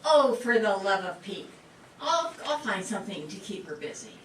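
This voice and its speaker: A woman's voice: medium pitch, big pitch swings, moderate pace, a bit bright. A woman playing a wry, wisecracking nurse in an amateur staged radio play. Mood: wry, exasperated. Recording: echoey, distant, faint noise.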